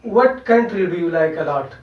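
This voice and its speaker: High-voiced man asking questions. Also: animated. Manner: slow, simple questions. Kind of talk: classroom conversation practice. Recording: roomy, distant, dull sound.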